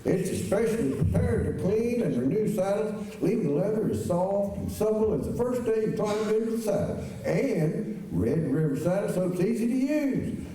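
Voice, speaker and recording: A man's voice: sits high, dark tone. A man reading an old-time radio sponsor commercial as the announcer. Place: high school auditorium stage. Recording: very echoey auditorium, distant, faint noise.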